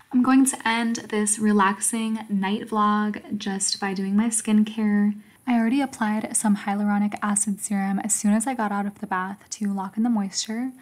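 A woman in her twenties calmly narrating her routine as a voice-over for her vlog, explaining each step. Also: mid-range voice. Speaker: vlogger.

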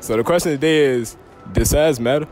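A man's voice: medium pitch, a little fast, darkish tone, soft background music. A nineteen-year-old man vlogging in a shopping mall, laughing and joking in casual slang as he asks strangers questions on a handheld camera. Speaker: vlogger asking prank questions. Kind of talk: casual street vlog.

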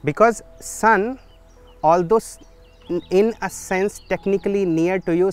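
A man, speaking in a thoughtful, measured way with pauses, recorded outdoors in a garden on a lapel mic. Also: fairly high pitch, clear, resonant tone. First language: Hindi. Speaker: solar physics researcher. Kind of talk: documentary interview.

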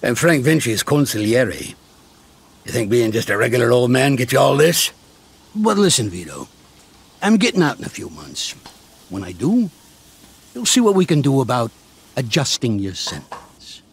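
An actor playing a shrewd, fatherly older American mafia consigliere with a gravelly, streetwise delivery in a video game. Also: mid-range voice, big pitch swings, moderate pace, pauses often. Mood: fatherly, persuasive. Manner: streetwise, coarse, patiently explaining. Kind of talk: game cutscene acting.

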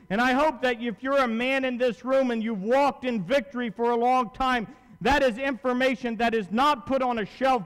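A man preaches from a pulpit in a large auditorium, his voice pitched high and carrying long hall reverb. He talks to his listeners directly and conversationally, driving points home with repetition and rhetorical questions. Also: a bit bright. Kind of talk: sermon.

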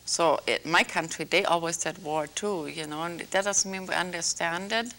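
A woman from Algeria hosting a small studio talk show, gently prompting her guest with hesitant, filler-laden questions. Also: fairly low pitch, normal speed, slight background noise. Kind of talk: interviewing a guest.

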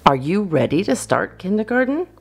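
A woman's voice: mid-range voice, sing-song, normal speed, vocal fry, husky, soft background music, voice pushed up, heavily acted. A woman voicing a friendly, encouraging kindergarten teacher in a children's storybook. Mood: welcoming. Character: friendly female kindergarten teacher, gently welcoming.

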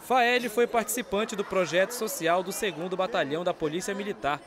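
A man's voice: high-pitched, fairly quick, a crowd in the background. Man reading a news report. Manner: steady, even news delivery. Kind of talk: scripted news narration.

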